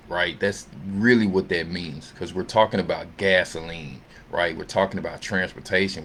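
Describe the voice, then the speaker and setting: Male presenter, deep voice, off-the-cuff earnings rundown, conversational and halting, audible background noise. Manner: conversational, halting, seeks agreement with tag questions. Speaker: presenter of an investment earnings summary. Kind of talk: informal unscripted financial commentary over slides.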